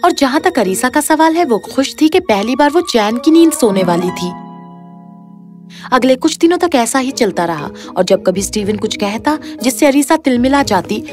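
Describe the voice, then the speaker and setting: High, animated female storyteller. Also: moderate pace. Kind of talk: scripted fairy-tale narration. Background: background music.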